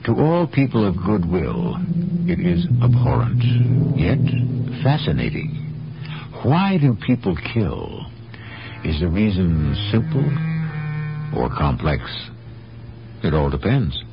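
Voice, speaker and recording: A grave, knowing radio mystery host, very deep and creaky. He narrates with dramatic, philosophical weight and wide swings of pitch, over music. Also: very animated, full character voice. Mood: grave, portentous. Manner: deliberate, philosophical, portentous. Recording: dull 1970s radio broadcast, music behind.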